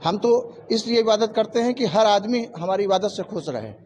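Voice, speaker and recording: A man's voice: high voice, expressive, moderate pace, a little mellow, slight background noise. A man giving plain-spoken, explanatory interview answers and repeating his points. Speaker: interviewee, local resident.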